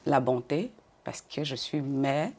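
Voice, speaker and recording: An older woman from Côte d'Ivoire answering interview questions in a measured way, often repeating words as she goes. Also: rumbling low, mellow, breathy edge. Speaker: interview guest.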